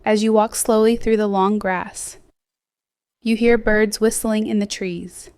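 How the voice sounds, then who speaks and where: A woman gently guiding a sleep hypnosis meditation in a slow, soothing, scripted delivery with softly trailing phrases. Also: mid-range voice, fairly even intonation, clear, resonant tone, no room sound, studio quality. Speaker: hypnosis guide.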